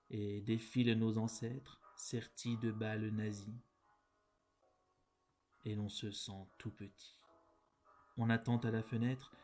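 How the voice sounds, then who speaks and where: A man reciting poems in a measured, deliberate way, phrase by phrase. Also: medium pitch, darkish tone. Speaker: poetry reader. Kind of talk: poetry recitation.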